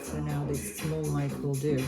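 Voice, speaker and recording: A woman's voice: deep voice, flat delivery, unhurried. A woman from Armenia with an Armenian accent, chatting casually through a cooking vlog in her home kitchen, rambling with lots of fillers and self-corrections. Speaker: cooking vlogger. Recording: loud background music.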